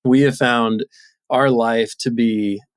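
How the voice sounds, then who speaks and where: A man's voice: medium pitch, fairly even intonation, slow, clean tone, no room sound. A man in his thirties talking casually and reflectively as a guest in an interview. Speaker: podcast guest, entrepreneur.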